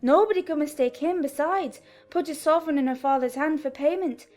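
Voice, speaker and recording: A woman's voice: sits high, brisk, darkish tone. A woman giving a dramatized first-person narration from a classic novel.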